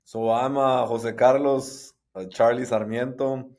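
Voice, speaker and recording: A middle-aged man from Guatemala, speaking as a podcast guest in halting, restarting sentences. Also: mid-range voice, fairly even intonation, darkish tone. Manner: halting, frequent restarts and repetitions. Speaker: guest, sports entrepreneur. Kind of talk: conversational interview.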